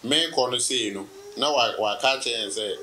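A Ghanaian man in his thirties, a business guest in a relaxed sit-down interview, telling his story with laughs and anecdotes. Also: mid-range voice, moderate pace, slight background noise. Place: indoor room, seated on sofa.

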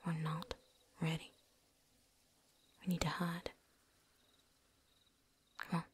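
Woman doing a whispery vampire hunter lady for asmr, lowish voice, chatty n cocky, folksy, bit of noise. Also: completely flat delivery. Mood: hushed, wary. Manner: folksy, rambling, with drawn-out asides. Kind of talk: whispered ASMR roleplay acting.

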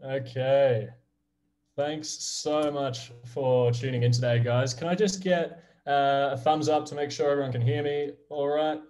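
An Australian man in his thirties, presenting to a class of kids over a video call. He sounds friendly and upbeat, with a middle-pitched voice. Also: fairly even intonation, a little slow, a little mellow. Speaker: guest presenter.

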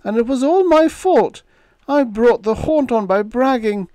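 A man's voice: very high voice, expressive, measured pace, dark tone, smooth, no echo, voice pushed up. A man voicing a flustered young crewman who stammers and wails. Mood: miserable. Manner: stammering, wailing.

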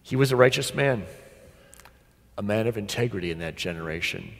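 Pastor preaching in a very reverberant church. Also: male voice, lowish voice, animated, gravelly, fairly bright tone. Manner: deliberate, dramatic pauses, rhetorical questions and emphatic repetition. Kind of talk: sermon. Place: large church sanctuary, at the pulpit.

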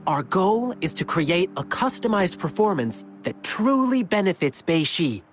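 Eager young lion dancer, very lively pitch. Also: male voice, measured pace. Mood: determined, sincere. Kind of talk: video game story dialogue. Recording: game music behind voice. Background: music.

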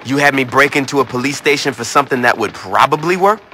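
A man's voice: medium pitch, expressive, fast, pushed and bright, a little hiss or hum. An actor playing a brash, impatient male gang boss in a video game, blunt, sardonic and foul-mouthed. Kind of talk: video game cutscene acting.

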